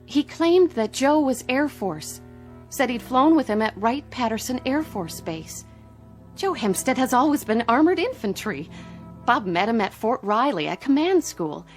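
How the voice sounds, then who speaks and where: An anxious military wife in a sci-fi radio drama. Her voice sits a little high and carries unease and worry. A light music bed runs under her. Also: big pitch swings, unhurried. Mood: troubled.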